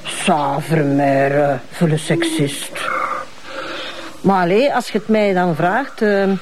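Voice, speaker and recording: Lively Flemish policewoman. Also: lowish voice, takes it slowly, noisy background. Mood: curious, cheeky. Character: chatty, cheeky female police officer. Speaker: actor in a police drama series.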